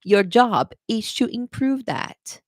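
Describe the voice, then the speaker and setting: A woman's voice: a little high, lively intonation, slow, dry recording, studio quality. A young adult woman from Brazil with a Brazilian Portuguese accent, an English teacher chatting through a live-streamed exam prep lesson, repeating key points and calling viewers "guys.".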